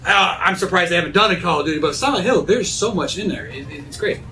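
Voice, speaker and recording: A man's voice: high-pitched, lively intonation, quick pace, gravelly, pushed and bright, soft background music, rough recording. A man in casual conversation as a panelist, putting questions to the others. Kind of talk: casual chat.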